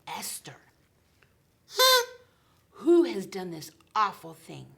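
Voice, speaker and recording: A woman's voice: low voice, slow pace, fairly bright tone, full character voice. A woman voicing a powerful, commanding king in a children's Bible story.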